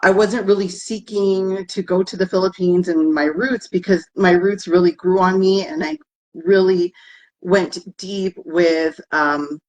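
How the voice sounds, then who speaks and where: A woman's voice: lowish voice, steady tone, measured pace, darkish tone, poor audio. A woman guest speaking in an interview, earnest and heartfelt, bilingual with Tagalog. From United States. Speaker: guest, herbalist business founder. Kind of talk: interview conversation.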